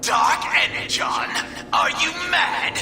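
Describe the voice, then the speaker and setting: An actor playing an arrogant, scornful robot air commander in a video game, with a haughty, theatrical, screechy delivery. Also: male voice, very high voice, big pitch swings, slow, gravelly, raised voice, heavily acted. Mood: outraged scorn. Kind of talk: video game cutscene acting. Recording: music behind the voice.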